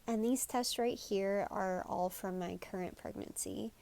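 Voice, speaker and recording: A woman with a high, girlish, nasal voice from a stuffed-up nose, talking casually to camera with many fillers and apologies. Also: measured pace, gravelly, darkish tone. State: congested from sneezing. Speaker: vlogger. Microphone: phone.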